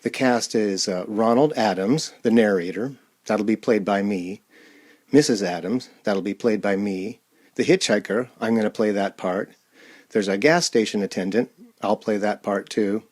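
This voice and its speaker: A man introduces things as a casual host. His voice is on the low side, and he talks off the cuff in halting phrases. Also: darkish tone. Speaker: host and amateur radio-play performer.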